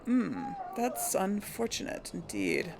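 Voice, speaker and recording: A woman's voice: mid-range voice, wildly swooping pitch, slow pace, gravelly, noisy background. A woman hosting a casual gameplay video, chatting and thinking aloud with frequent giggles. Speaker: let's play host.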